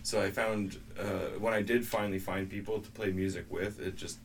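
A man's voice: low-pitched, steady tone, moderate pace. A man from a band answering interview questions in a hesitant way, with lots of fillers. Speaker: band member. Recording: distant mic with background noise.

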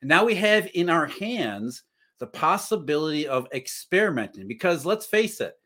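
A man's voice: on the high side, big pitch swings, normal speed, fairly bright tone. Earnest man thinking aloud as a guest. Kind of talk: casual podcast conversation.

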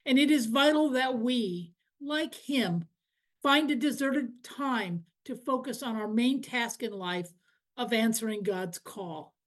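A woman reading a scripted scripture reflection in a measured, earnest way with clear phrasing. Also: fairly high pitch. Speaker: library director giving a scripture reflection. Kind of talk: scripted devotional reflection, read aloud.

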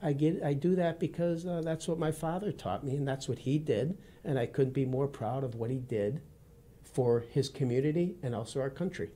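A man in his fifties from Wisconsin with a Midwestern accent, speaking as a guest in a radio studio interview in a steady, earnest, formal way. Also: mid-range voice, normal speed. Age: 50s. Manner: steady, earnest and formal, addresses the host by name often.

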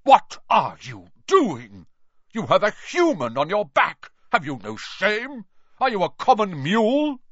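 A man's voice: low-pitched, unhurried, few pauses, a bit bright, dry recording, voice pushed down. A man reading aloud and voicing a proud, hot-tempered male centaur with a growling, thunderous delivery. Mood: furious. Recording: dull, muffled recording.

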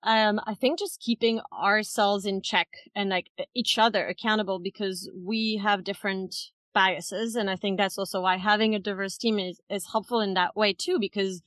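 A woman's voice: medium pitch, fairly even intonation, normal speed, hardly any pauses, fairly bright tone, dry recording. A woman from France, giving thoughtful, explanatory answers as an interview guest in a conversational way. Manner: thoughtful, explanatory, often checks with "right?" and "you know". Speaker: interview guest, head of people at a tech company.

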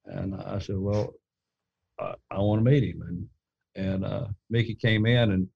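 A man who leads a gun company, telling his story in a casual interview with a deep, hollow-sounding voice and a rambling way of talking full of restarts. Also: fairly even intonation, measured pace, gravelly, husky, no room sound, lo-fi sound.

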